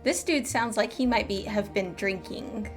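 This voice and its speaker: A woman's voice: medium pitch, normal speed. A woman game streamer chatting casually and playfully with her live audience in an informal way. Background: game music.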